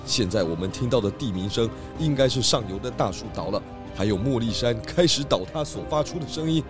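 A man with a Taiwanese accent voicing a calm, authoritative pig detective in a children's audio drama, with a measured, explanatory manner. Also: medium pitch, music in the background, natural voice. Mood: grave. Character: calm, authoritative male pig detective who loves food.

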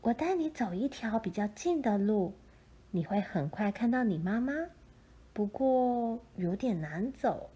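A woman voicing a helpful young girl guardian angel in a gentle storybook manner. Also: medium pitch, expressive, unhurried, breathy edge.

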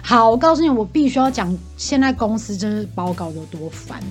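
A Taiwanese woman talks at a fast clip in a casual, animated storytelling style. She sounds talkative and exasperated, as if venting to a friend. Music plays behind her voice. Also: on the high side. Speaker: podcast co-host venting as a bar customer.

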